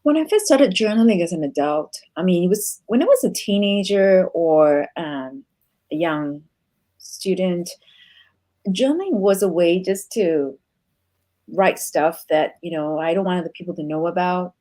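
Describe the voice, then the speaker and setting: A woman's voice: on the low side, animated, a little slow, mellow. A woman from Singapore, a guest in a casual conversation who talks reflectively and searches for her words.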